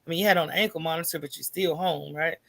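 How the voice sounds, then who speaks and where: A woman's voice: sits low, fairly even intonation, fast talker, creaky, a bit bright, dry recording. A middle-aged woman telling her own story as a podcast guest, candid and informal. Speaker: podcast guest, small business owner. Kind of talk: conversational personal storytelling.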